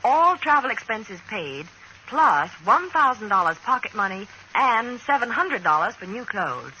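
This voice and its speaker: A woman as a radio announcer giving a 1940s advertising pitch. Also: sing-song, unhurried, pushed and bright, lo-fi sound. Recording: old radio broadcast, faint noise.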